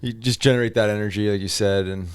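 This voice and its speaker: A man with a fairly low voice, recorded dry with no room sound. He hosts a casual podcast, putting questions to a guest and reacting in short, conversational bursts. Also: fairly even intonation, fast talker, clear, resonant tone, no room sound. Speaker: podcast host asking questions. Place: podcast studio.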